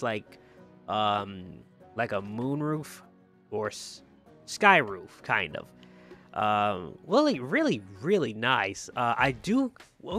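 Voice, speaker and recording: Guy doing chatty gameplay car showcase, medium pitch, really into it, repeats words for emphasis, faint music behind. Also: fairly bright tone. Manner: enthusiastic, emphatic repetition, conversational. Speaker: gaming video host. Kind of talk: casual car showcase commentary over gameplay.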